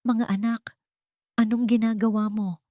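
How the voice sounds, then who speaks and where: A haughty society villainess in an audio drama, acted by a woman. Her voice is shrill and raised, with a commanding manner. The sound is dry and close. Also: level tone, a little slow, mellow, dry recording, natural voice. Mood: falsely sweet.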